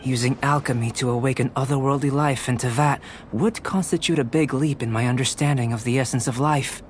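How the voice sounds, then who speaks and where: A man voicing a calm, curious young male alchemist in a video game, with precise, academic and politely detached delivery. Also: medium pitch, a little hiss or hum, natural voice. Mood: curious, explaining. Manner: measured, precise, academic phrasing.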